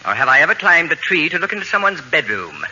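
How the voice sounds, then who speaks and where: An actor playing a haughty, fastidious middle-aged know-it-all in a radio comedy, with precise, formal, acerbic diction. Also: male voice, a little high, big pitch swings, pushed and bright, full character voice. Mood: dry, superior. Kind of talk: 1940s radio comedy acting. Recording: dull, noisy old radio broadcast recording.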